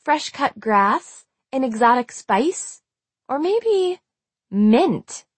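A woman voicing a spiteful, sneering teenage mean girl in an audiobook, taunting and shrill when angry. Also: slow pace, slightly rough, no echo. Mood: gloating. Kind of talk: audiobook character dialogue.

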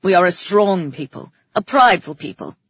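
Imperious storybook king, played by a woman, loud and oratorical, husky edge, slightly dull roomy sound. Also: fairly low pitch, big pitch swings, moderate pace, exaggerated voice. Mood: grand, stately. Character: commanding, grandiose king making stately proclamations. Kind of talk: audiobook character acting.

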